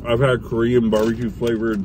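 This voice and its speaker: A middle-aged man tasting snacks in a parked car, making brief low-key comments. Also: level tone, a little fast. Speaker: co-host. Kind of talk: casual snack taste test. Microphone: camera on the dashboard. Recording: car interior with ambient noise.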